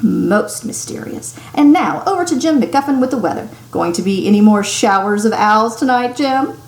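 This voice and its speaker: A woman's voice: a little high, animated, normal speed. A woman reading the part of a wry, amused male newscaster in a storybook, with playful presenter emphasis, in an echoey room. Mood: wry amusement. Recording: echoey room with faint noise.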